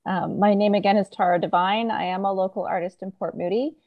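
Creaky-voiced woman introducing her artwork. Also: medium pitch, fairly even intonation, brisk, muffled recording. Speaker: local artist. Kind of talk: prepared artist introduction. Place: indoors on a video call. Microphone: video call microphone.

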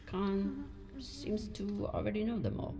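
A woman's voice: medium pitch, a little slow, in a large hall, lightly acted. A woman playing a guarded, hard-edged spaceship captain in a video game, with terse, probing delivery. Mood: reflective. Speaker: game protagonist.

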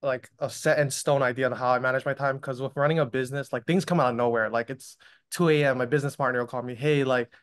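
A man's voice: mid-range voice, fairly even intonation, quick pace, clean tone. A man in his twenties talking casually and informally as a panelist on a video call. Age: 20s. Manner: informal, frequent "like". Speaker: student business owner, panelist. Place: indoor room, on a video call. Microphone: video call microphone.

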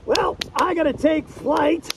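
A man voicing a goofy, wisecracking cartoon duck comedian in a silly, creaky voice, delivering one-liners. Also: extremely high pitch, takes it slowly, background noise. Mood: cheerful. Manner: silly, punctuates jokes with quacking. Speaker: stand-in comedy host. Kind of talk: one-liner joke routine with quacks.

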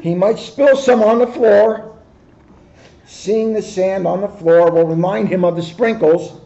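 A man in his sixties, a police officer, reading a picture book aloud with joking asides, in a high-pitched voice. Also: a little slow, creaky, dark tone. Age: 60s. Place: office, indoors. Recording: dull, lo-fi camera microphone.

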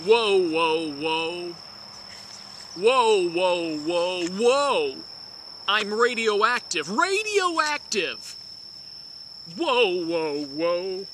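Young man for a mock-dramatic reading, high lively voice, very slow and bright, faint crickets behind. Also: a little hiss or hum. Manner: slow, grandly theatrical, overly emphatic. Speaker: dramatic reader. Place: outdoors by green woods.

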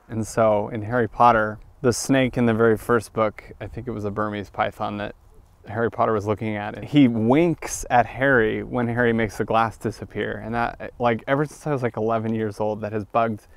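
A man in his twenties chatting casually and excitably as he hosts an outdoor vlog, rambling along into a lapel mic. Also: lowish voice, dry recording. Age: 20s. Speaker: vlog host and reptile enthusiast. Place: outdoors in open fields, some in a parked car. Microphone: clip-on lapel mic.

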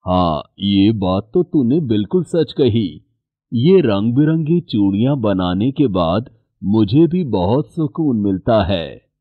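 A man voicing a gentle, fatherly middle-aged bangle maker in a cartoon story. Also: fairly low pitch, slow, no echo, narrow, tinny audio, heavily acted. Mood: warm. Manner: calm, fatherly.

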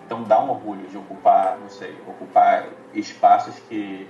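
A man's voice: lowish voice, little pitch movement, moderate pace, mellow, a little hiss or hum. A man in his thirties with a Manaus Brazilian accent, talking casually in an interview over a dull, echoey video call. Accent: Manaus Brazilian Portuguese. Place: indoor room. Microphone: earphone mic on a video call. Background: music.

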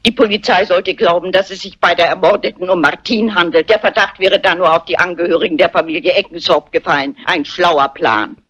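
An actress playing a shrewd, unflappable elderly lady amateur sleuth in a crime film, polite and matter-of-fact, with a husky voice. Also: fairly low pitch, fairly quick, hardly any pauses, a bit bright. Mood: accusing, composed. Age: older. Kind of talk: German dubbed crime film dialogue. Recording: dull, muffled old film soundtrack.

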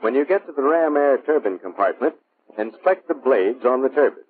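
An American man reading scripted instructional narration for a training film in a measured, clipped, instructive manner. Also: medium pitch, animated, slightly rough. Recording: muffled, phone-like vintage military film soundtrack.

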